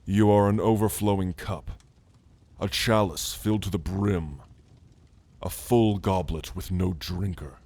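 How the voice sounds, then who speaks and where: A man voicing a calm, scholarly orc shaman and gentle mentor, speaking in a soothing, measured, formal way in an ASMR roleplay. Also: low voice, dry recording. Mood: calm, hypnotic.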